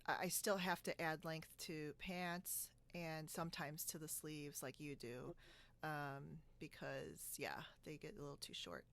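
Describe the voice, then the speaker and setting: A woman hosting a podcast in a casual video-call chat, talking in a halting, giggly, chatty way. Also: low-pitched, a bit bright. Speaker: podcast host. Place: indoors on a split-screen video call.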